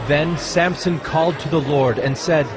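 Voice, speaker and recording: A man's voice: a little high, steady tone, moderate pace, a loud crowd, rough recording. Man narrating scripture over music. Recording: music behind the voice.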